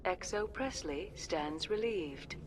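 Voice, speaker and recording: Slow formal starship announcer. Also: female voice, fairly low pitch, animated, soft background music.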